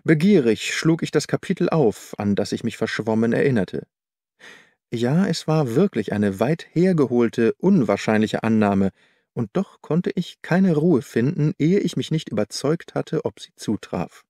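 A man narrating a detective story as an audiobook in a measured, literary, articulate manner. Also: medium pitch, lively intonation, warm tone, dry recording, studio quality. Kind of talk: scripted first-person detective story narration.

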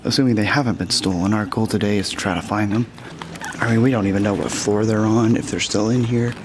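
A man's voice: on the low side, fairly even intonation, quick pace. Young man joking amid noisy ambience. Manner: joking, slangy. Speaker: urban explorer. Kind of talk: casual chat while exploring. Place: inside a large empty abandoned factory. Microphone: handheld camera mic. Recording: noisy handheld camera audio.

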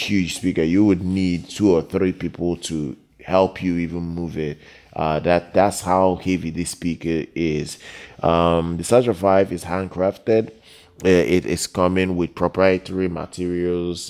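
A man with a very low, mellow voice presents a product review off the cuff. He talks haltingly, doubling back on phrases and repeating words to drive his point home. The tone stays dark and relaxed. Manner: halting, repetitive, emphatic on key words. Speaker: product reviewer, channel host. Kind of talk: unscripted product review voice-over.

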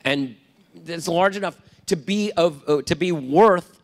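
A middle-aged man, an author on a panel, speaking in a measured way with restarts into a handheld mic. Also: a little high. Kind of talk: public panel discussion. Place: large lecture hall on stage. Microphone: handheld microphone. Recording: long hall reverb.

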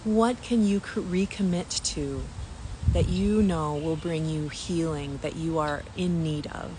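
A woman leading a spiritual guided meditation in a gentle, soothing manner, with long pauses between phrases. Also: low voice. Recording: clear environmental noise, birdsong.

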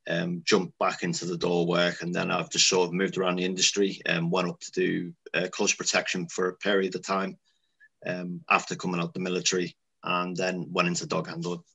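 A man's voice: sits low, completely flat delivery, brisk, creaky, bright, forceful tone, slightly rough. A young man with a Liverpool accent talking casually and matter-of-factly about his work as a security dog handler over a video call. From Liverpool, England. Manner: conversational, matter-of-fact, loose with grammar. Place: small room indoors. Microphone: webcam on video call.

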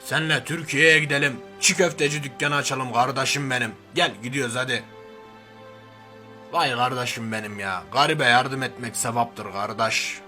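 A man's voice: medium pitch, steady tone, normal speed, sharp tone, soft background music, natural voice. A man voicing a brash, grumbling, slangy macho man turned reluctant superhero in a cartoon parody. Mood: sentimental. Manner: blustering, slangy, threatening then sentimental. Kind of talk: cartoon parody character dub.

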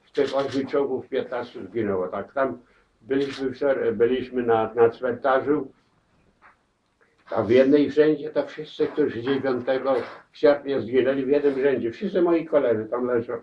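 An elderly man recalling his wartime memories, halting, with many restarts and repeated words, in a crowded living room. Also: mid-range voice, a little hiss or hum. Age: older. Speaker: war veteran interviewee. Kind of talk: spoken war memories. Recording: distant, dull camera audio.

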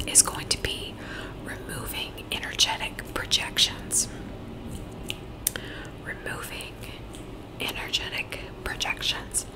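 A woman whispering softly and reassuringly as a Reiki practitioner, in an ASMR session. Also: rough recording. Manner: soft whispering, gentle and reassuring. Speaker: Reiki practitioner and psychic medium. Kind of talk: whispered ASMR energy-healing talk. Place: Virginia, USA.

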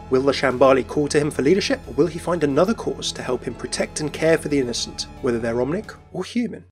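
A man narrating a scripted video about video game lore as its presenter. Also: medium pitch.

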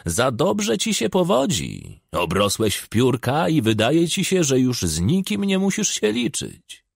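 Audiobook role: threatening old male folk healer done by a man, wounded pride, husky and creaky, deliberate delivery. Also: medium pitch, huge pitch swings, dry recording, clean studio sound, pitched above the performer's own voice, heavily acted. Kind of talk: audiobook character acting.

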